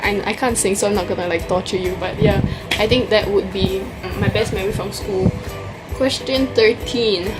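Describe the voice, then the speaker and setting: A woman's voice: medium pitch, moderate pace, loud music behind the voice, low-quality recording. A young woman from Singapore with a Singaporean English accent, chatting casually through a question tag in her vlog. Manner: chatty, answers questions from a list. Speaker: vlogger.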